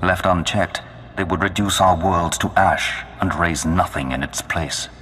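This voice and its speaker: Cavernous, very deep menacing Sith lord. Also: male voice, sharp tone, a little hiss or hum. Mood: ominous, commanding. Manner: grandiose, slow, commanding. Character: menacing male Sith lord of a dark empire. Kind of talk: video game villain acting. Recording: long cavernous reverb.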